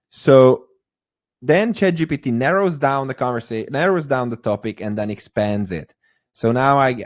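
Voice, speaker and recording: A man's voice: medium pitch, darkish tone, dry recording. A man teaching a live online course, talking in a hesitant way with many fillers and restarts. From Hungary. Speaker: AI course instructor.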